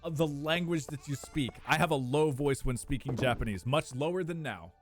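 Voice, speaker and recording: A young man, chatting casually with his live-stream viewers, animated and prone to squeaky bursts of laughter. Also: normal speed, soft background music.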